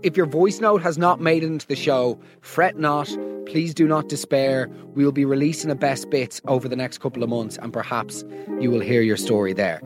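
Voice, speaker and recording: An Irish man hosting a comedy podcast, reacting to listener stories in an animated, exaggerated, mock-outraged way. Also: medium pitch. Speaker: podcast host.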